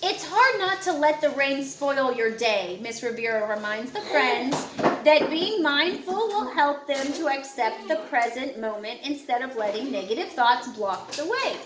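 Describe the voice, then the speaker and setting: A woman narrating a children's picture book in a measured, instructional way, phrasing clearly for young listeners. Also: high voice, animated, roomy sound, background noise. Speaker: audiobook narrator.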